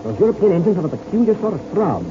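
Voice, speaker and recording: A man playing a steady, fatherly veteran pilot in a 1930s radio serial, folksy in manner, on an old radio recording. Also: sits high, racing, lo-fi sound, noisy background. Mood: assessing.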